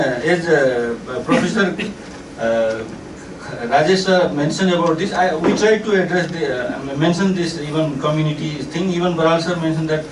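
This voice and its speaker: Hesitant man presenting in an echoey room. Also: fairly high pitch. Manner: hesitant, halting phrasing. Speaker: panel speaker presenting research findings. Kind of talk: semi-formal spoken presentation at a discussion. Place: echoey indoor discussion event. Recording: echoey room, distant mic, faint noise.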